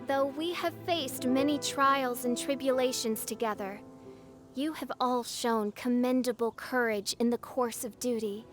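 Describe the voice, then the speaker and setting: Woman acting as a dramatic teen girl princess type from a game, high voice, pompous old-timey talk, bit of music behind. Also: measured pace, close to an everyday voice. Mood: imperious, grandiose. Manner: pompous, archaic, theatrical diction. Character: theatrical teenage girl adventurer posing as grandiose princess. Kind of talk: video game cutscene voice acting.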